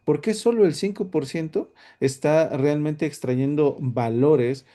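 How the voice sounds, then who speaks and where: Man hosting a webinar, mellow voice. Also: fairly high pitch, unhurried. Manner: hesitant, many fillers and restarts. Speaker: solutions architect, workshop host. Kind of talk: webinar presentation.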